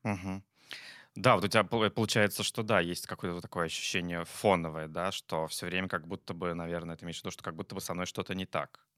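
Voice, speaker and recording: A man's voice: deep voice, steady tone, fast, fairly bright tone, no echo, studio quality. A man co-hosting a talk as a psychologist, chatting casually and reflectively, often searching for words. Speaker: psychologist and talk show co-host.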